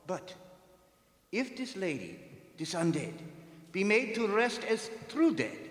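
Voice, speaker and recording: A solemn vampire hunter acted by a man commands and warns in a high-pitched, theatrical voice. The oratorical radio-play delivery echoes through a big hall with long reverb. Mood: solemn, ominous. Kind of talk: theatrical radio drama acting. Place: performing arts center stage.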